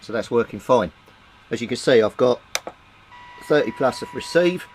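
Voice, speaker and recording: A man's voice: medium pitch, animated, normal speed, noisy background. A man from Britain walking through a radio repair step by step in a plain, methodical way, thinking aloud with small fillers. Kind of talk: technical repair walkthrough.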